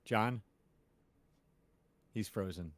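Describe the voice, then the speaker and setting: Halting host on a video call. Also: male voice, fairly low pitch, a bit bright, dry recording. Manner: halting, lots of filler words. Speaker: podcast host. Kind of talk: casual live-stream chat.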